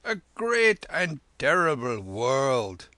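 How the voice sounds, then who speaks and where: A man voicing a weary, dreamy old lama in a storybook, mumbling slowly and drowsily. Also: medium pitch, sing-song, bright, forceful tone, no room sound, voice pushed up, heavily acted. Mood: drowsy wonder. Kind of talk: storybook character voice.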